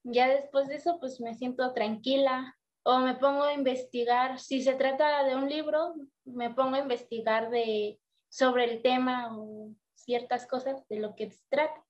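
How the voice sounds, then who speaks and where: High-voiced young woman, lo-fi sound. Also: steady tone, measured pace. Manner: hesitant, with many fillers. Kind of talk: interview answers. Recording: dull lo-fi sound.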